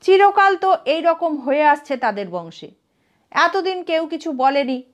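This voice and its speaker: A woman tells a literary story in a high voice. Her delivery is slow and expressive, with pitch that moves about a great deal.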